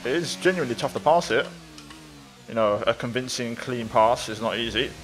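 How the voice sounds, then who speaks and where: A man's voice: mid-range voice, expressive, fairly quick, soft background music. A man giving casual, running commentary as he plays a racing game. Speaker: gaming video creator.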